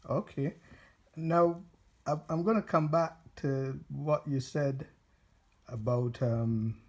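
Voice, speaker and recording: A man from Barbados, interviewing informally in halting, deliberate phrases. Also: medium pitch.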